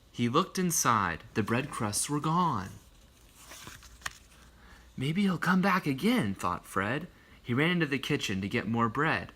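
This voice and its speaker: A man reading a picture book aloud in an expressive storytelling way, with casual asides. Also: mid-range voice.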